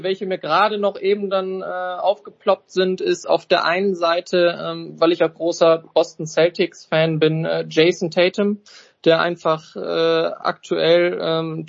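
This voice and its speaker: A man's voice: on the high side, vocal fry, muffled recording. A man talking about sports stars in a hesitant way, full of ums and uhs. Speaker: sports panel guest. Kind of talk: casual sports talk.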